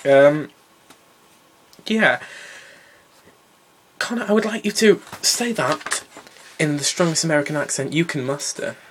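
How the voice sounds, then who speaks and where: A man's voice: a little high, expressive, unhurried, long pauses. A young man hosts a casual podcast chat, teasing his co-hosts and jumping between topics. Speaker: podcast host. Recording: faint hiss and clicks.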